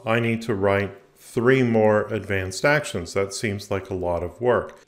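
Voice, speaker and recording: A man giving a step-by-step software tutorial in a methodical, explanatory way. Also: on the low side. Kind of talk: step-by-step screencast tutorial narration. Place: indoor voice-over recording for a screen capture.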